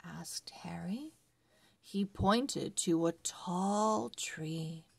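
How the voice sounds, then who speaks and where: A woman reading a children's story aloud as a read-along narrator, with deliberate word-by-word phrasing. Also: on the low side, vocal fry, breathy edge. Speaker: read-along reader for early readers.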